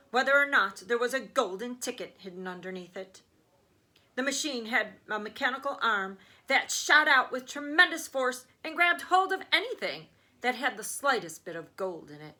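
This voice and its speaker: A woman's voice: a little high, sharp tone. A woman reading a children's story aloud as a teacher would, with emphatic storytelling phrasing.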